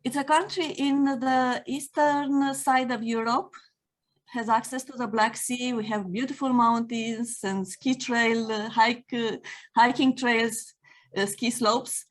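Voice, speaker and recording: A woman from Romania, a native Romanian speaker, talking as a guest over a video call, steady with frequent fillers. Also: fairly high pitch, takes it slowly. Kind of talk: casual interview talk.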